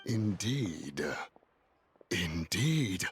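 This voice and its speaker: A man's voice: sits low, sing-song, very slow, full character voice. A man voicing a grave, courteous, dignified immortal in a video game, stately and formal. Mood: calm, grave. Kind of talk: video game character acting. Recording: echoey reverb, faint music behind.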